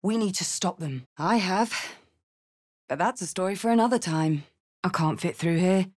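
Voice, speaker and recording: A woman's voice: fairly low pitch, moderate pace, darkish tone, lightly acted. A woman playing a tough, battle-scarred female warrior in a fantasy video game, blunt, wry and defiant in manner. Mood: wary, blunt.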